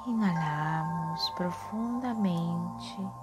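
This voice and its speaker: A woman leading a guided meditation in a soft, soothing, often breathy manner with drawn-out phrases. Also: on the low side. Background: soft music.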